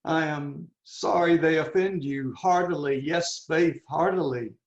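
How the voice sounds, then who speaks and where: A man performing an anguished, intense young prince in a Shakespearean dramatic reading, declaiming haltingly and dwelling on words. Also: on the high side. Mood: wry, intense. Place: indoors on a video call. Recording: roomy, echoing, muffled video call audio.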